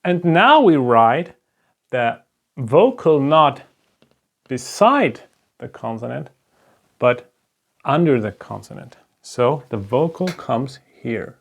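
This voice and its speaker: Slow gravelly German-accented male teacher. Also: medium pitch, sing-song. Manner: patient, step-by-step, checks in with "right?". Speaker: Hebrew teacher. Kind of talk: slow explanatory lesson at a board.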